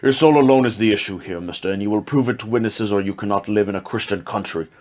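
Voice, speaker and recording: A man's voice: fairly low pitch, quick pace, a little mellow, natural voice. A man playing a stern colonial magistrate. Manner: stern, commanding, legalistic.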